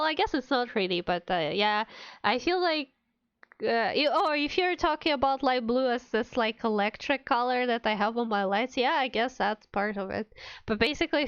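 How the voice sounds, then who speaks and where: A woman with a high, clear voice chats casually to her stream. She sounds playful and mock-indignant, quick to giggle. The sound is close and dry, a little dulled. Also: a bit bright, no echo, lo-fi sound. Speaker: streamer, VTuber. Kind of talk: casual livestream chat with viewers.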